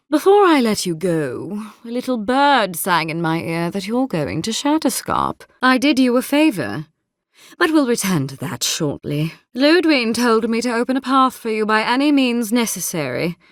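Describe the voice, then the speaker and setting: Silky, menacing captain, swooping intonation. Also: female voice, mid-range voice, dry recording, studio quality. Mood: sly, assured. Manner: courteous, measured, silkily threatening, formal. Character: polished, menacing female military captain serving an inquisitor. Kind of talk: scripted fantasy video game dialogue.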